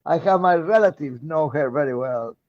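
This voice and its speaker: This is a man of around ninety with an Argentine Spanish accent. His voice sits a little high for a man, and it comes through muffled and dull, as if over a remote line. He talks in a halting way, full of pauses and fillers, and chuckles often. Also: animated, darkish tone. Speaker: guest, retired medical professor. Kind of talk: conversational interview.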